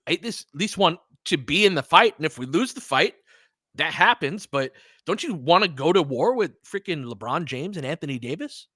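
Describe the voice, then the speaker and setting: A man with a slightly high, very animated voice hosts live sports commentary. He talks emphatically and conversationally, like someone arguing a point among friends. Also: moderate pace, husky, no echo, studio quality. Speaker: sports show host. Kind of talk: casual live game commentary and discussion.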